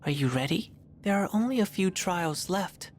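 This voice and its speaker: A woman voicing a calm, mysterious young visitor in a video game, speaking gently. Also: on the low side, moderate pace, a little hiss or hum, lightly acted. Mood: calm, gentle. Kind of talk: English video game dub acting.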